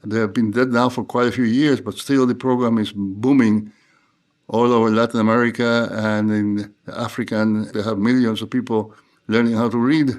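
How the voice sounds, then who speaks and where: An older man from Cuba, a theologian guest, talking in a measured, reflective way with frequent restarts. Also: lowish voice, level tone, a little mellow. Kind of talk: unscripted interview talk.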